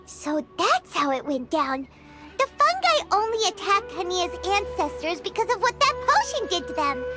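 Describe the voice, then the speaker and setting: A woman voicing a small, excitable, childlike fairy companion in an anime game, squeaky and exclamatory. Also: normal speed. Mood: indignant, realizing. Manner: speaks of self in third person, exclamatory. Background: music.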